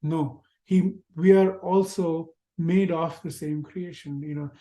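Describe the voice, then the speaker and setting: Indian-accented man, mellow reflective teacher. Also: a little high, fairly even intonation, takes it slowly. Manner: reflective, gentle, with rhetorical questions. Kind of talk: spiritual lecture to an online class. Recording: roomy echo.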